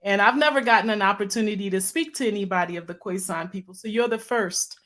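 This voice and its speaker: A woman's voice: medium pitch, fast, a bit bright. A woman hosting a live online talk show, chatty and upbeat as she addresses viewers. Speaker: host.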